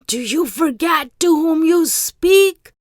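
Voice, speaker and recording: A woman's voice: extremely high pitch, expressive, slow pace, dry recording, studio quality, pitched above the performer's own voice, full character voice. A woman voicing an imperious, greedy fisherman's wife in a storybook, commanding and emphatic. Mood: furious. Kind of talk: storybook read-aloud character voice.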